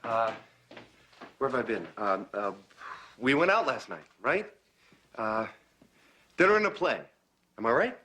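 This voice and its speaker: Stammering young hero. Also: male voice, medium pitch, very animated, unhurried, pauses often, bright, forceful tone, slight background noise. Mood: flustered. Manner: hesitant, stammering with fillers. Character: flustered young male hero, evasive. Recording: TV drama clip with music underneath.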